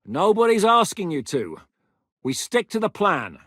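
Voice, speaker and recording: An actor playing a shrewd, resolute medieval rebel captain who speaks plainly and with authority in a video game. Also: male voice, sits high, very animated, unhurried, fairly bright tone, no room sound, clean studio sound, exaggerated voice. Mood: forceful, impatient. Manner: authoritative, decisive, plain-spoken. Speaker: military leader planning operations. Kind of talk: video game dialogue acting.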